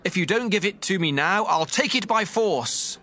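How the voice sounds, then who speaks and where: An insolent servant of a priest in a biblical audio drama. His voice is high and swings widely as he bullies and demands. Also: animated, moderate pace, bright, forceful tone, exaggerated voice. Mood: demanding, threatening. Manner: demanding, threatening. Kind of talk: dramatized scripture reading.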